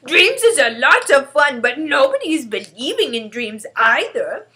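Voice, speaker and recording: A woman's voice: high-pitched, sing-song, measured pace, pushed and bright, voice pushed up, full character voice. A woman voicing a big male giant from a storybook, stubborn and gloomy, speaking emphatically in muddled grammar. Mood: scornful. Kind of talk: storybook character voice.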